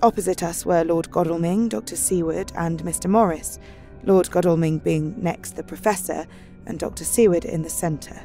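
A woman's voice: fairly low pitch, normal speed, warm tone, faint music behind, close to an everyday voice. An actress playing a polite, earnest young married Victorian woman, composed and formal as she keeps a journal in an audio drama.

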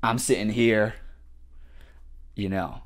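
A man's voice: on the low side, fairly even intonation, takes it slowly. A man in his twenties hosting a casual solo podcast, rambling with slang, lots of swearing and restarts. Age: 20s. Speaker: podcast host.

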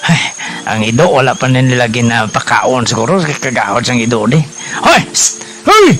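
A man's voice: mid-range voice, big pitch swings, moderate pace, fairly bright tone, faint music behind. A man with a Hiligaynon accent playing a drunken, bitter father in a melodramatic radio drama, badgering and repeating himself. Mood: bullying, impatient. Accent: Hiligaynon (Western Visayas).

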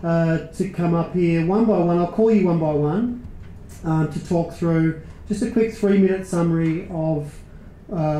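A middle-aged man with an Australian accent facilitating a workshop, relaxed and informal with lots of fillers, speaking into a lectern mic in a conference room. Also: a little high, roomy sound, a little hiss or hum, low-quality recording.

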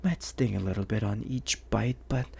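A man voicing a suave, menacing, flirtatious vampire bounty hunter in an ASMR roleplay, teasing in breathy half-whispers with lingering pauses. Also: fairly low pitch, dark tone, full character voice. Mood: coaxing, explanatory. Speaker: voice actor.

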